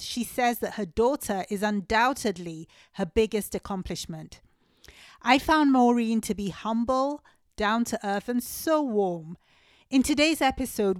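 A woman hosts an interview podcast in a fairly high voice. The sound is dry, with very little room in it. She presents like a host introducing and questioning a guest. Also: no echo.

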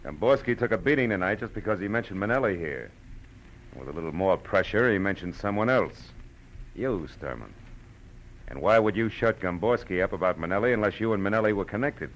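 An American actor playing a cool, tough, wisecracking private eye in a 1940s radio drama, with terse, sardonic delivery. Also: male voice, fairly low pitch, animated, fairly quick, highs cut off, lightly acted. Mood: wry, confident. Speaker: narrator and lead actor in radio detective series. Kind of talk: 1940s radio acting, first-person noir narration. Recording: vintage radio drama with music underneath.

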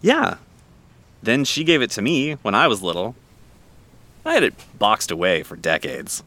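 An actor playing a chatty, easygoing cafe owner in an audio drama, talking casually. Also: male voice, lowish voice, wildly swooping pitch, moderate pace, bright, forceful tone, heavily acted. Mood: relaxed, chatty. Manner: casual, conversational. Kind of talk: naturalistic audio drama acting.

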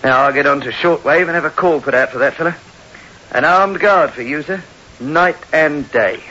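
An actor playing a shrewd police inspector, courteous but insistent and probing, in a sixties radio drama. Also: male voice, fairly high pitch, normal speed, natural voice. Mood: brisk, businesslike. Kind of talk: 1960s radio drama acting. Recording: dull, hissy old radio recording.

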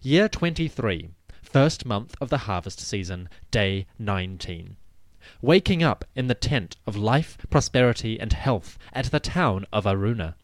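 A man's voice: lowish voice, expressive, unhurried, dry recording. A man narrating scripted history as a dramatic, stately and emphatic storyteller. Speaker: host and narrator.

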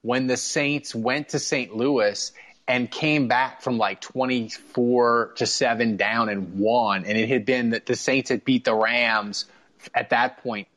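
A man hosting a sports podcast, telling stories as an excitable, casual fan who swears freely. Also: mid-range voice, slow. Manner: profane, excitable storytelling.

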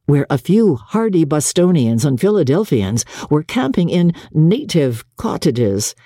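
A woman's voice: sits low, dark tone, slightly rough, dry recording, studio quality. A woman reading a classic novel aloud as scripted, dramatic audiobook narration.

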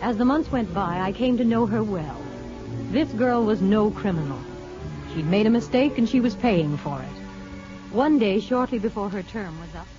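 An actress playing a brisk, firm but kindly women's prison official in a measured 1950s radio drama style. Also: female voice, mid-range voice, animated, close to an everyday voice. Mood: reflective. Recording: muffled old radio broadcast with music.

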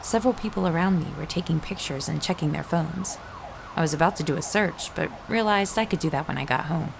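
A middle-aged mother who runs and narrates her own story, in a low voice with gravelly fry. She is understated and matter-of-fact, against a noisy outdoor soundscape of sirens and traffic in an audio drama. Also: brisk. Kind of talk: first-person audio drama narration and dialogue.

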